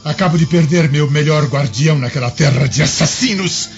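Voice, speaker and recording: A man's voice: fairly high pitch, fairly quick, creaky. An actor playing an arrogant, vengeful Roman governor in an audio drama, pompous and menacing as he declaims to aides and crowds. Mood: bitter, scheming. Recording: dull lo-fi audio, music behind. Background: background music.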